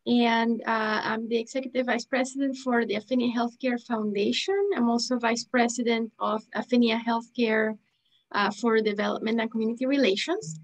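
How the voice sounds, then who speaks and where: A woman's voice: on the high side, fairly even intonation, moderate pace, rough recording. Composed woman from Venezuela. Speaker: nonprofit executive. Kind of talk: self-introduction on a video call.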